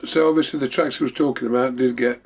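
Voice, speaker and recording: A man's voice: mid-range voice, fairly even intonation, quick pace, husky. A man hosting a memorabilia show, chatting casually in a rambling, repetitive way. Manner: rambling, repeating himself. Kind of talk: casual show-and-tell chat.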